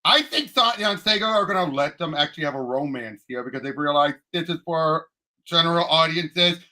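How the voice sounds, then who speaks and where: A man co-hosting a podcast, discussing a movie casually in an excitable, emphatic fan's way. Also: a little high, brisk. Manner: excitable, emphatic fan talk. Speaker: podcast co-host.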